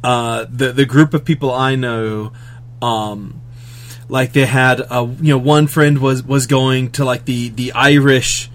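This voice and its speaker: A man co-hosting a casual chat, telling a story in a relaxed, rambling way. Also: medium pitch. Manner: relaxed, rambling, with lots of fillers and quick asides.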